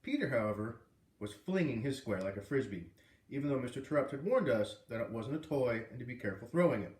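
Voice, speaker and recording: A man reading a children's novel aloud in an expressive, animated way, with casual asides. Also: mid-range voice, fairly quick. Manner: animated, puts on character voices. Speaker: read-aloud host. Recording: roomy, distant microphone.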